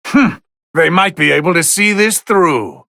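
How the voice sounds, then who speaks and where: A man playing a haughty, bored god of destruction who commentates on video game fights in a condescending, sardonic, theatrical drawl with a gravelly edge. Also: sits high, lively intonation, measured pace, bright, forceful tone, no echo, studio quality, heavily acted. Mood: detached, critical. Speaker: match commentator.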